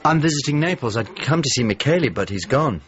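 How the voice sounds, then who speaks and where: A male actor playing a middle-aged painter in a radio drama, measured, inquiring and a little hesitant, with a husky voice. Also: mid-range voice, lively intonation, dull audio, a little hiss or hum, lightly acted. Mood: earnest. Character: Italian painter, probing visitor seeking an old friend. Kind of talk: naturalistic radio drama acting.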